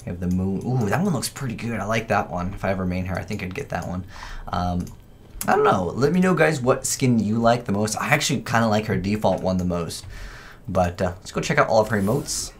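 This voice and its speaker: Male gaming host, lowish voice, loose and chatty, thinks aloud. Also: lively intonation, a little fast, slight background noise. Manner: informal, rambling, thinking aloud. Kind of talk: casual gameplay commentary.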